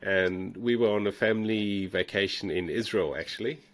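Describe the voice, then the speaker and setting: A middle-aged man from South Africa with a South African accent blended with a New Zealand one, telling stories in a relaxed, rambling way on a video call. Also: lowish voice, normal speed. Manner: relaxed, rambling with fillers and restarts. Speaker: guest, fossil hunter. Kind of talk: casual storytelling interview. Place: home room.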